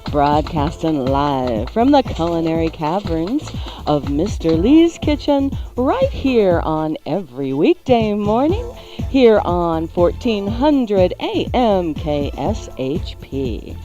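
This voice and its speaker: Theatrical woman radio host over loud music. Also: on the low side, very animated, takes it slowly, lo-fi sound. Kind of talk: live morning radio show hosting.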